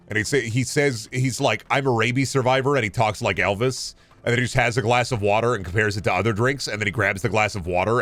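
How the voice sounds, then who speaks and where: A man chatting casually as a video game streamer, rambling in a halting way and often repeating phrases. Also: on the low side, fairly bright tone. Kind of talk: casual rambling livestream chat.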